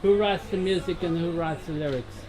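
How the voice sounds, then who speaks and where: A man's voice: fairly high pitch, a little fast, music in the background. A man asking questions as an interviewer in a casual, chatty style.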